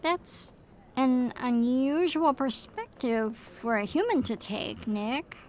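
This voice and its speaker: Woman playing a slow, high-voiced earnest android. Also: animated, exaggerated voice. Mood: gentle, curious. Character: female android partner, calm, earnest, curious about humans. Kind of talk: audio drama acting.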